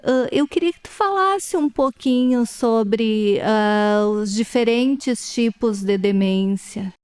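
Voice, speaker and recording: A woman, interviewing in a thoughtful, personal, unhurried way. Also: a little high, darkish tone, clean tone. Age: 40s. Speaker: interviewer, educator. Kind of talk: reflective conversational interview.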